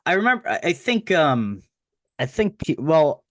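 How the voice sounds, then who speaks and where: A man with a New Jersey accent hosting a casual podcast interview, asking questions haltingly with many fillers and restarts. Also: on the high side, big pitch swings, no room sound, studio quality. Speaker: podcast host.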